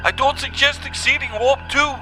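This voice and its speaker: Bright, very high-pitched starship crew member , acted out. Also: steady tone, normal speed, faint music behind. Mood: businesslike. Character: starship crew member, tense and dutiful, reporting to captain. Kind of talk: sci-fi audio drama acting.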